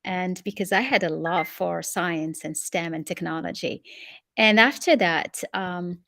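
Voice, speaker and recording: A middle-aged woman from Morocco, a guest expert answering interview questions in a measured, explanatory way. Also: lowish voice, vocal fry, fairly bright tone, no room sound, studio quality.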